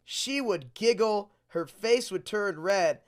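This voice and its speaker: Slow, very animated storytime reader. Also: mid-range voice. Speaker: host reading storybooks aloud. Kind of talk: mock storytime reading with deadpan asides.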